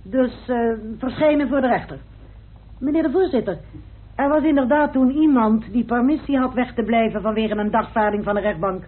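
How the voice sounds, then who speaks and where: An actress playing a sharp, precise, assured woman investigator in a radio drama. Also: on the high side, moderate pace, breathy edge. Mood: triumphant reveal. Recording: muffled, tinny old radio recording.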